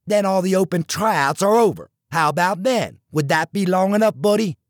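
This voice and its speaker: A man reading the part of a frustrated young male pitcher, agitated and sarcastic. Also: brisk, dry recording, studio quality, close to an everyday voice.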